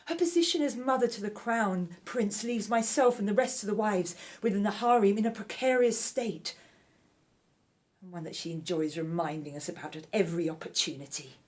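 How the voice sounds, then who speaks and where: A woman playing a ruthless, scheming royal wife of ancient Egypt in an audio drama, speaking in an imperious, deliberate, menacing way. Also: medium pitch, a little mellow, natural voice. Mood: cold menace.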